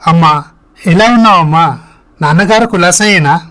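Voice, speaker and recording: A man's voice: high-pitched, moderate pace, pushed and bright. A man voicing an earnest, sorrowful young man in a dramatized moral story. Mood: dutiful, polite. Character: heartbroken young man confiding in his parents.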